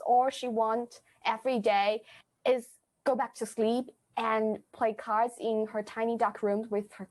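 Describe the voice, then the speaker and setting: Deliberate woman giving explanatory answers. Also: on the high side, darkish tone. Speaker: podcast guest, amateur actor.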